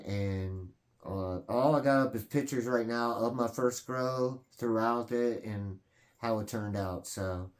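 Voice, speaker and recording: Man, late forties, channel host, casual vlog talk, earnest, rambling, lots of fillers. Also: lowish voice. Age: 40s.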